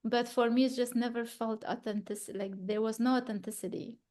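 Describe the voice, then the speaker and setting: A woman's voice: on the high side, fairly even intonation, brisk, darkish tone. A woman in her thirties from Afghanistan, speaking thoughtfully about her work at a gallery talk, often restarting and searching for words. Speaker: exhibiting artist.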